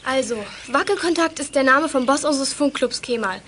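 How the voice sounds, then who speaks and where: A woman plays a cheeky girl from a kids' detective club in a radio drama. Her voice is very high and confident, over faint background noise. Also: moderate pace, lightly acted. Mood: teasing. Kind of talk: 1980s German children's radio play acting.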